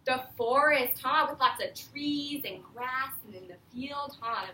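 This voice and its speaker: A woman's voice: high-pitched, a little slow, pushed and bright. A woman teacher reading aloud to young children in a classroom, explaining simply and often prompting them with questions. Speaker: elementary school teacher. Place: echoey classroom. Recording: echoey room, distant mic, faint noise.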